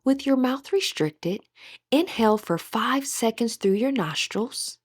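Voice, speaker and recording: A woman with a breathy voice gently leading a guided meditation as an instructor, in measured, scripted phrases. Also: fairly high pitch, lively intonation, no echo, studio quality.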